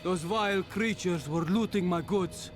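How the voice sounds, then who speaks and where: High, wheedling merchant. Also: male voice, unhurried. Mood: grateful, wheedling. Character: greedy, ingratiating male merchant. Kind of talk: fantasy video game voice acting. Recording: music underneath. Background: music.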